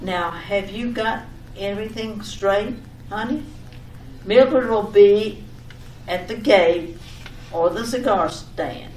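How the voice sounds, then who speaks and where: A woman's voice: mid-range voice, slow, long pauses, a little mellow, natural voice. A woman playing a fussy, scatterbrained wife in an old-time radio comedy sketch, giving repetitive, over-detailed instructions. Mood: fussy, chatty. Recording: echoey room, distant mic, faint noise.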